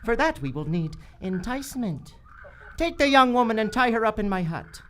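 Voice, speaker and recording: A sly, treacherous jungle guide, voiced by a woman in a radio adventure. The voice is sharp and animated, oily and theatrical. A little noise sits behind it. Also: a little high, moderate pace. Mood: gloating, sinister. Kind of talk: old-time adventure radio acting. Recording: live stage recording, some background noise.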